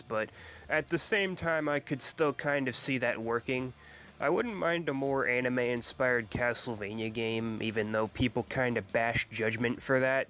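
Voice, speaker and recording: A man with a congested, stuffy-nosed voice talks through a casual gaming vlog at an ordinary middle pitch. He speaks bluntly and swears freely when he gets heated, often filling his pauses with ums and uhs. Faint music plays quietly behind him. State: stuffy nose from a cold. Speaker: gaming YouTuber.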